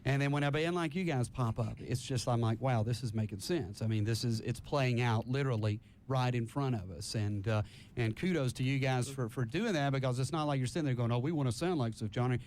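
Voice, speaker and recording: A man's voice: brisk, a little mellow. A man hosting a live band interview on college radio, talking in an effusive, rambling, informal way. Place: radio station, live broadcast. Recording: faint rumbling background noise.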